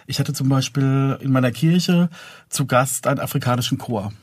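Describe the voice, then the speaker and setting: A man with an average-pitched voice talks conversationally in an interview about his work as a producer and composer. His voice is recorded very dry, without audible room. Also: normal speed, no echo. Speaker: audio drama producer and composer being interviewed.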